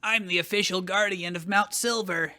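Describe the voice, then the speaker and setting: A man's voice: high-pitched, steady tone, normal speed, sharp tone. A man voicing a proud, bitter, vengeful hero in a scornful, declamatory way for a dramatic fan fiction reading.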